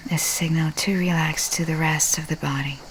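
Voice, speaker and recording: A woman leading a guided sleep meditation in a soft, breathy, half-whispered and soothing way, with long pauses. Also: deep voice, fairly even intonation, a little hiss or hum, rough recording.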